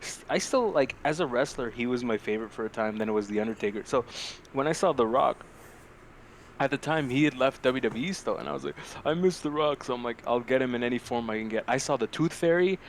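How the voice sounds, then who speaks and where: A young man co-hosting a podcast in a casual, animated, rambling and sweary chat about films. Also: medium pitch, quick pace. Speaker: podcast co-host. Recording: clearly noisy amateur podcast audio.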